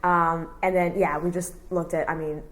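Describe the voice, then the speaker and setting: A woman in her thirties from Texas, whose first language was Cantonese, recounting her life in an oral history interview, relaxed and rambling with many fillers. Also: fairly low pitch, normal speed. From Houston, Texas. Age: 30s.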